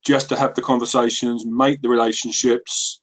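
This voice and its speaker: Man, medium pitch, reflective personal chat, tentative, halting, feeling for words. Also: little pitch movement, poor audio. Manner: hesitant, tentative, searching for words. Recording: conference call.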